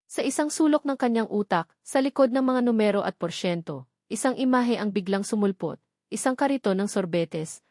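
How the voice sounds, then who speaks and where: A woman's voice: medium pitch, darkish tone, no room sound, studio quality. A woman with a Filipino accent reading a scripted, dramatic romance story as its narrator.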